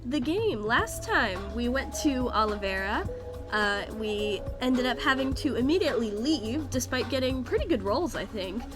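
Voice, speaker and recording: A chatty, upbeat woman talks through a video game. Her voice sits fairly high and sounds stuffy and sniffly from allergies. Game music plays clearly behind her. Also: expressive, moderate pace. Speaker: let's play host. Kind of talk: casual gameplay commentary.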